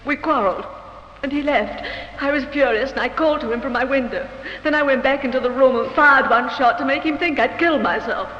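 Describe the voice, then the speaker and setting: A muffled, reverberant old radio recording of an actress playing a distraught young woman on trial. Her voice is very high and pleading, in the emotional manner of 1950s radio drama. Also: brisk, a little hiss or hum, natural voice. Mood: grieving, shaken.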